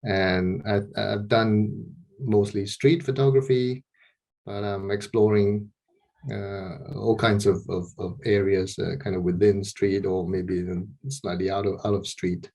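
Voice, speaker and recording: A man's voice: fairly low pitch, fairly even intonation, measured pace, gravelly, a little mellow. A middle-aged man from Malaysia, a guest on a video call interview, talking casually about his photography with many restarts and repeated words.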